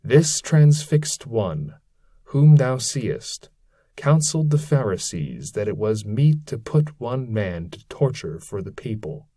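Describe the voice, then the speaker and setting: A man's voice: medium pitch, very animated, slow, warm tone, no echo. A man voicing a grieving damned friar in a formal, weary, archaic way for an epic poem. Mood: mournful. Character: grieving damned friar, hypocrite's shade in leaden cloak. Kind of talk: dramatic reading of epic verse.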